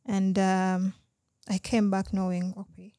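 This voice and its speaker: A woman's voice: mid-range voice, flat delivery, slow, dark tone, dry recording, clean studio sound. A Ugandan woman with a Ugandan accent, a podcast guest talking earnestly and casually, often saying "you know" and "exactly". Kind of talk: casual conversational interview.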